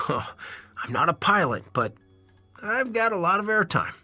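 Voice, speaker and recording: A man's voice: high-pitched, big pitch swings, slow, bright, forceful tone, soft background music, full character voice. A man playing a cheerful, easygoing hippie airline worker in an audio drama. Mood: genial, playful.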